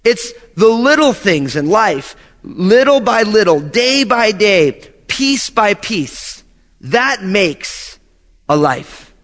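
A man's voice: high voice, sing-song, takes it slowly, a bit bright, big-room sound. A man in his thirties, a pastor preaching a sermon in an earnest way, repeating key phrases for emphasis. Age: 30s.

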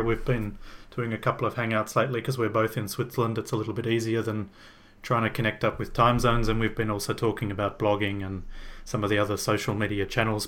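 A man's voice: medium pitch, fairly bright tone. A young man hosting a podcast, upbeat and chatty as he introduces the show and questions his guest. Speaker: podcast host.

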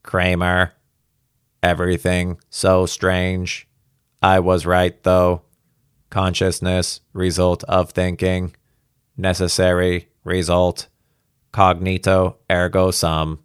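A low, lifeless old professor's voice done by a male reader, the mind of a man living inside a spaceship in a science fiction story. He speaks slowly in halting, detached fragments, without inflection. The sound is close and dry. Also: completely flat delivery, smooth, no echo. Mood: faltering, musing. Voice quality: toneless, faint, mechanical. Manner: slow, faltering, halting fragments.

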